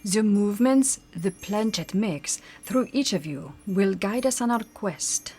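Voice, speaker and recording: A woman's voice: mid-range voice, animated, measured pace, dark tone, slightly rough, faint music behind. A woman playing a formal, commanding and mysterious spirit medium in old-time radio drama style. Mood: solemn, commanding. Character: solemn theatrical female spirit medium.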